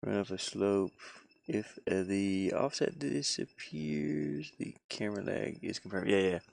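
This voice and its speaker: A man chatting casually on a live stream as he works on a game, halting and muttering his thoughts aloud. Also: on the low side, vocal fry, darkish tone. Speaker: hobby game developer streaming his work. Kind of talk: casual live-stream chat while working.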